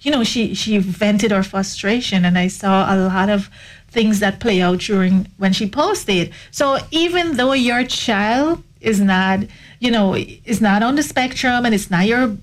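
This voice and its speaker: A woman's voice: medium pitch, a little slow. A woman hosting a talk show, talking earnestly with frequent restarts and "you know" fillers. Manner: earnest, repeats words, many you knows. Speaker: host. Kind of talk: casual talk show conversation.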